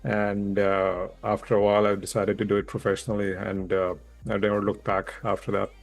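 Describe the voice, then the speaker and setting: Lowish-voiced man. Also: monotone, brisk, vocal fry, faint music behind. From India. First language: Hindi. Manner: candid, reflective, laughs easily. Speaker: guest, public speaking coach. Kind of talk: conversational interview.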